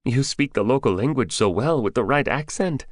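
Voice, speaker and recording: A man's voice: medium pitch, animated, normal speed, no room sound, clean studio sound, voice pushed up, lightly acted. A man voicing a frightened young storekeeper. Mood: wondering. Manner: anxious, pleading, bitter. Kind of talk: audiobook character voice.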